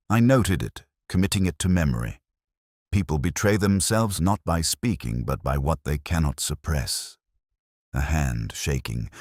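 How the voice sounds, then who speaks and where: Male narrator, very deep register, varied intonation. Measured, literary, reflective mystery storytelling in a dry recording. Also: no echo. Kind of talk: scripted first-person detective fiction narration.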